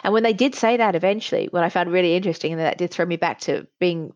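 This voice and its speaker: A woman's voice: lowish voice, quick pace, darkish tone, dry recording. A middle-aged woman in casual conversation, giving candid, reflective opinions, on slightly dull lo-fi audio. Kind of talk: casual chat.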